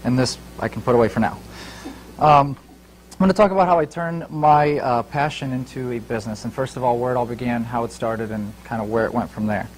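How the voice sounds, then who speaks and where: A man's voice: mid-range voice, brisk, low-quality recording. A man in his twenties from Ukraine who moved to the United States, giving an informal motivational talk as an entrepreneur, addressing listeners directly. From Ukraine, later Fort Wayne, United States. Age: 20s. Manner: informal, talks directly to the audience.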